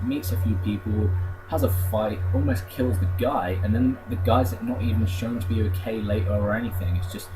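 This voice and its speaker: A man's voice: medium pitch, low-quality recording. A man with an English accent giving a casual, rambling movie review in an unenthusiastic, conversational way. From England. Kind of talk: casual spoken review to camera. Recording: music playing behind the voice. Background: music.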